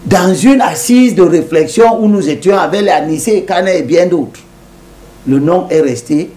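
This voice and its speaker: A man's voice: sits high, unhurried, warm tone. A man, a politician, talking as a guest in a TV interview in an emphatic, lecturing way, repeating points to drive them home.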